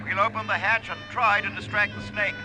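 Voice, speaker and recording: An actor playing a brisk, commanding airstrip man giving orders in an old-time radio drama. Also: sits high, flat delivery, over music, natural voice, rough recording. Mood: firm, urgent.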